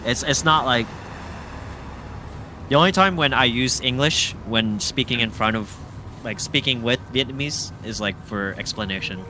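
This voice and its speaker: A man's voice: mid-range voice, moderate pace. A young man chatting outdoors, giving short, reluctant answers. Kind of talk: casual chat. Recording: noisy outdoor street recording.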